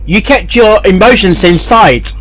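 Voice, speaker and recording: A man giving off-the-cuff, questioning reaction commentary in an animated way. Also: high-pitched, unhurried, poor audio.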